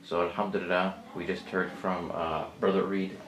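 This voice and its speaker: Low-voiced male interviewer, echoey room. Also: little pitch movement, normal speed, fairly bright tone. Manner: encouraging, frequent back-channel murmurs. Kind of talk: casual interview. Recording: echoey room, faint hiss.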